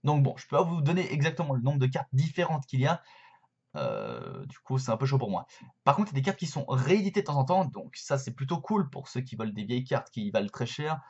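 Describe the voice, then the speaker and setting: A man's voice: medium pitch, sing-song, a little fast, creaky, a little mellow, slightly rough, dull audio. A young man in his twenties chatting casually to a webcam from his bedroom, explaining a card game with lots of hesitations and fillers. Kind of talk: casual explainer vlog to camera. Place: bedroom with shelves, indoors.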